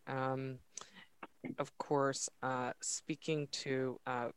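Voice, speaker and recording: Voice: mid-range voice, a bit bright, smooth, no room sound. A podcast host asking thoughtful interview questions, with frequent hesitations and fillers.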